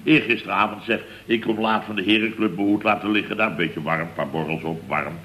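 An actor playing a flustered, indignant middle-aged building boss, telling things in a long-winded, exasperated way in a comic radio serial. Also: male voice, on the low side, steady tone, a little fast, lo-fi sound, natural voice. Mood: indignant, pompous. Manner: long-winded, exasperated storytelling peppered with verbal tags. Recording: old radio recording with faint noise.

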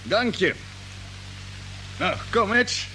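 An actor playing a confident space fleet captain in a radio drama, giving clipped, authoritative orders. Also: male voice, high voice, animated, drawn-out words, sharp tone, heavily acted, low-quality recording. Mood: commanding, brisk. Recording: old radio play, humming background noise.

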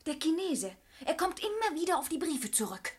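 High-voiced secretive young lover. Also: female voice, big pitch swings, moderate pace. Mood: conspiratorial. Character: young woman, secretive, playful lover and accomplice. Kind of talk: German radio play acting.

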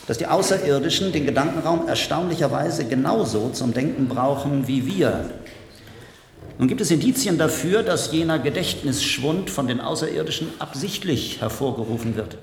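An actor playing a grave, measured scientist who speaks formally and deliberately, giving a briefing in a radio play. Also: male voice, medium pitch, lightly acted. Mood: matter-of-fact. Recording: echoey hall with long reverb, faint noise.

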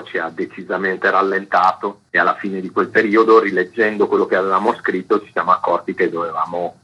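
A man with a very low, husky voice. He speaks as a novelist being interviewed, explaining things in a measured way, with a bright, forceful edge. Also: lo-fi sound. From Italy.

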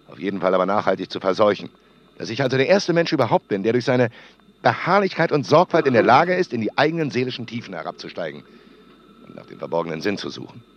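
Obsessive, bitter audio diarist in a radio drama. Swinging intonation, grandiose brooding, muffled tape sound. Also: male voice, on the low side, dry recording. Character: obsessive, embittered male radio-play maker keeping an audio diary. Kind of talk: acted spoken tape diary in radio feature. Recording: dull lo-fi tape diary.

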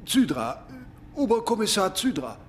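An officious, puzzled police inspector in a radio crime drama. His voice is high for a man and extremely animated in pitch, with some noise behind it. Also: normal speed. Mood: surprised.

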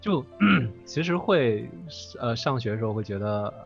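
A man speaking as a guest in a casual panel chat, explaining things haltingly. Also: mid-range voice, animated, creaky, highs cut off. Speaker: interview guest, voice drama performer. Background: faint music.

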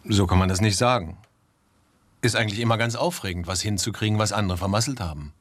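An actor playing a dry, gruff, sardonic police sergeant in a radio drama. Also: male voice, lowish voice, fast talker, dry recording, close to an everyday voice. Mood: dry, guarded.

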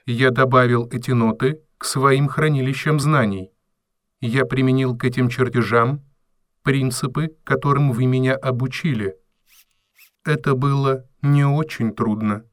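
A robot servant acted by a man, courteous and coolly logical. He speaks very deliberately at a middling male pitch, formal and without emotion, in a dry radio-play recording. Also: fairly even intonation, no echo, close to an everyday voice. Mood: calm, curious. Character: polite, measured robot servant with unshakable calm logic. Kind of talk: audio drama acting.

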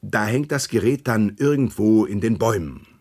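An actor in a German radio play, playing a boastful, scheming animal park director, effusive and emphatic, with hesitations and repeated words. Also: male voice, fairly low pitch, moderate pace, a little mellow.

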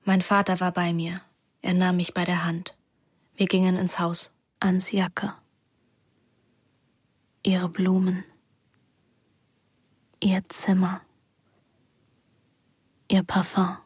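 An actress playing a melancholy teenage daughter, narrating a radio play in a measured, introspective way. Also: female voice, fairly low pitch, completely flat delivery, breathy edge, no room sound, studio quality. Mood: numb, wistful.

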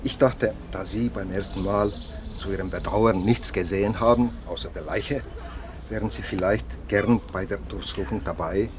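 A courteous police investigator in a radio drama, played by a man, with a fairly low voice. He explains things in a measured, factual way, with clear ambient noise around him. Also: natural voice. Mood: courteous, official. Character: businesslike male police investigator, courteous, dryly informative. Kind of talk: German radio drama dialogue.

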